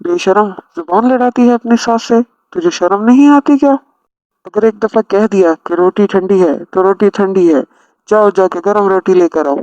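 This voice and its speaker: A man's voice: high voice, moderate pace, darkish tone, dry recording, close to an everyday voice. A man voicing an arrogant, harsh older father-in-law in a family cartoon, scolding and domineering. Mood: angry. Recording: dull lo-fi audio.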